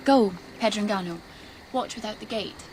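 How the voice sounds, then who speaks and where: A woman's voice: mid-range voice, over music, natural voice, low-quality recording. An actress playing a passionate, anxious young noblewoman in love, speaking poetic verse in a classical radio drama. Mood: uneasy, tender. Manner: poetic, deliberate verse delivery.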